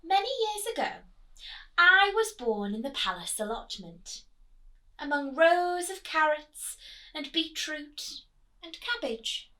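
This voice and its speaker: A woman as a small self-important storybook pea, very bright. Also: high voice, slow, heavily acted, low-quality recording. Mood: proud, wistful. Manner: storybook-like, expressive, earnest. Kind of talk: children's storybook read-aloud.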